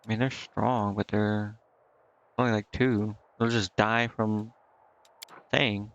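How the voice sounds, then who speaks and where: A man's voice: fairly low pitch, level tone, slow pace, vocal fry, dull audio. A man doing casual live game commentary, thinking aloud to himself in slangy, indecisive mutters. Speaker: game streamer. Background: game music.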